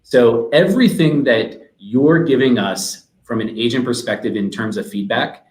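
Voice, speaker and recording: A man's voice: mid-range voice, normal speed, darkish tone. A man speaking as a guest in a webinar, explaining things in a businesslike way. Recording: roomy, echoey sound.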